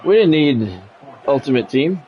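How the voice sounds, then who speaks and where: A man's voice: medium pitch, very animated, measured pace. A man livestreaming a game, mixing casual, opinionated chat with sports play-by-play commentary. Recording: dull lo-fi audio, music behind. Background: music.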